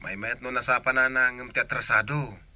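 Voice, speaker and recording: An insistent, eager grown son in an Ilocano radio drama. His mid-range voice is very sharp and forceful, with an Ilocano accent, recorded dry and close. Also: medium pitch, steady tone, moderate pace, no room sound. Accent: Filipino, Ilocano.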